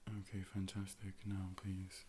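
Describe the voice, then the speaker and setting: A calm, reassuring A.I. eye doctor played by a man with a low male voice. The delivery is soft ASMR role play, gently clinical and formal, sometimes slipping into a whisper. It is captured on a close microphone with a faint background hiss. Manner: measured, formal step-by-step instructions, gently clinical. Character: calm, polite robot A.I. optician, clinical and reassuring. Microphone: close binaural microphone.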